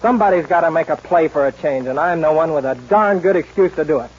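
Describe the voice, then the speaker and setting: Guy playing young cowboy hero, slightly rough highish voice, blunt n fearless, old muffled radio western. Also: fast, natural voice. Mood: grim, resolute. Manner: firm, clipped, coolly defiant. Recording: muffled vintage radio recording.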